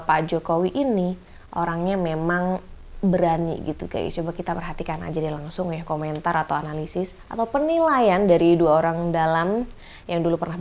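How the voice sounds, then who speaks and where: A woman's voice: on the low side, darkish tone. Chatty woman hosting a reaction vlog. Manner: chatty, addresses viewers as guys, drawn-out fillers. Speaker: reaction channel host. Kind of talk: casual vlog commentary.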